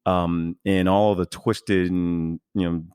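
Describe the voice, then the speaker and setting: Deep-voiced man, halting and earnest. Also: little pitch movement, a little mellow, clear, resonant tone, no echo, studio quality. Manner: halting, searching for words, earnest. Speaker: podcast host. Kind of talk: casual reflective conversation.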